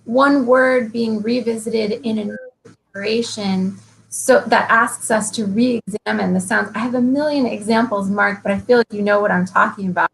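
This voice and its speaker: A woman's voice: fairly high pitch, fairly even intonation, normal speed, creaky, a little hiss or hum, low-quality recording. A woman interviewing a fellow poet, thinking aloud, riffing and asking thoughtful questions. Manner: thoughtful, riffing, warmly admiring. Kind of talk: conversational interview.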